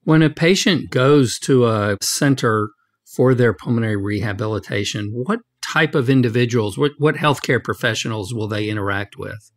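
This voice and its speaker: A man hosting a medical podcast interview, speaking in a formal, measured, scripted way. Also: medium pitch. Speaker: podcast host, medical journal editor.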